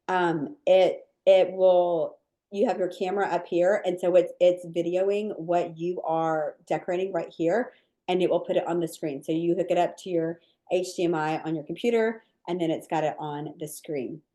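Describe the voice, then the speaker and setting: Woman, low voice, cookie class teacher, live Q&A, casual, lots of ums, friendly chat. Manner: relaxed, chatty, many ums and likes. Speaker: cookie decorating teacher answering viewer questions. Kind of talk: live webinar Q&A.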